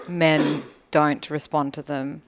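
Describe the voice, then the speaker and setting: A woman's voice: deep voice, fairly even intonation, very slow, heavy background noise. A woman guest on a podcast giving blunt dating advice, swearing casually and using many fillers. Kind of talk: casual chat.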